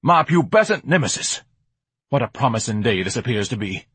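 Pompous educated glutton, haughty florid diction, theatrical swoops, husky, audiobook character. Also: male voice, mid-range voice, sing-song, a little fast, a bit bright, dry recording, voice pushed up. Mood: indignant.

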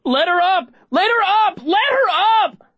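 Frantic boy, high, cracking shouts. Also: very animated, measured pace, no room sound, exaggerated voice. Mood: frantic shouting. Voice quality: strained, cracking. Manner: desperate, repeated shouting and pleading. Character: frantic boy screaming helplessly behind soundproof glass.

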